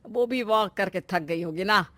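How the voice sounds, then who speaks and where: A woman plays an older mother-in-law from a family storybook tale. She is motherly and fretful, with an emphatic, coaxing way of talking. Her pitch is medium and the recording is dry. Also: normal speed, sharp tone, dry recording, natural voice. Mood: fond, fussy. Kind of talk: family moral-story acting.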